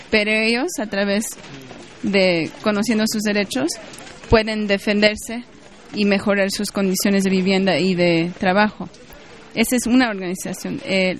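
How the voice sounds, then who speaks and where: Woman explaining steadily. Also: mid-range voice. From Mexico. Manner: steady, explanatory, with frequent "eh" fillers. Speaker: activist being interviewed. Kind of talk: interview answers.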